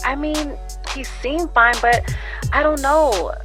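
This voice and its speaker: A woman's voice: high-pitched, slow, music in the background. A woman acting in an audio drama as an anxious, secretive friend, speaking in a fretful, hesitant, conversational way. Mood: worried. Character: anxious, secretive woman confiding in her girlfriend.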